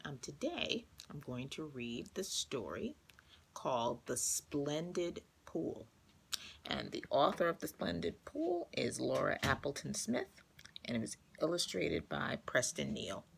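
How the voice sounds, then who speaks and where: Lively storybook woman with a creaky edge. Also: sits low, measured pace. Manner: expressive, clearly paced storytelling for young listeners. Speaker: children's story reader. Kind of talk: read-aloud children's storybook narration.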